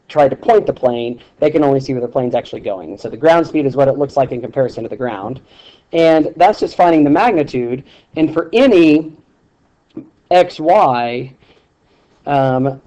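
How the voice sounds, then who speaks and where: Relaxed math teacher talking through a problem. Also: male voice, mid-range voice, rough recording. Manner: relaxed, explanatory, with many ums and restarts. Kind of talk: screencast lesson with handwriting.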